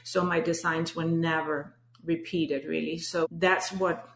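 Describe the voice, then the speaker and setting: A woman's voice: sits low, little pitch movement, measured pace, reverberant. A middle-aged woman from Denmark with a Danish accent, a coach telling her story as a guest in an earnest, thoughtful way with many restarts. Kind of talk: casual interview talk.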